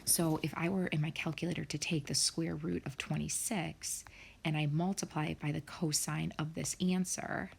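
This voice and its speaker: A woman's voice: sits low, little pitch movement, moderate pace, gravelly, slightly rough. A woman math teacher talking students through problems step by step in a recorded screen lesson, often dropping to a near whisper.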